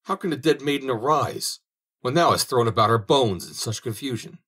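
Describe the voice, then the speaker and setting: A man's voice: mid-range voice, lively intonation, moderate pace, fairly bright tone, breathy edge, pitched above the performer's own voice. A man voicing a stern holy saint who scolds in old-fashioned speech, in an audiobook reading.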